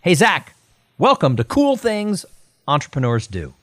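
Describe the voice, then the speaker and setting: A man's voice: a little high, huge pitch swings, a little slow, a bit bright, dry recording. Middle-aged guy hosting a podcast, chatty, upbeat, dry close sound. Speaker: podcast host. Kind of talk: podcast interview with sponsor read.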